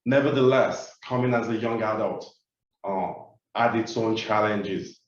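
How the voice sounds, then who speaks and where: A man from Nigeria speaks with a Nigerian accent, Yoruba his first language. His voice sits fairly low, and he talks in a measured way with frequent "uh" fillers. The sound is very echoey, dull and comes from far off the mic. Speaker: keynote speaker. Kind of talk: webinar talk.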